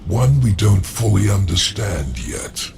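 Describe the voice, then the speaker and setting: An actor playing a sly, calculating demon lord in a video game, gravelly, formal and measured. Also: male voice, sits low, sing-song, darkish tone, a little hiss or hum, full character voice. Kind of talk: dramatic video game cutscene acting.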